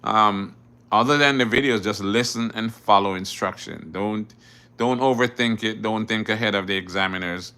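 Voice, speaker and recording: A man's voice: on the low side, moderate pace, pushed and bright, clear, resonant tone. A middle-aged man from Jamaica, a driving instructor, hosting a casual live Q&A where he reads viewer questions aloud and answers them plainly. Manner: repeats words and restarts often. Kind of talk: casual livestream Q&A.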